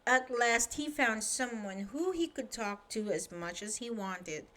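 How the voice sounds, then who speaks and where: A woman reading a children's story aloud at bedtime, lively and expressive, pausing to ask the listener questions. Her voice sits a little high and she takes an unhurried, slow pace.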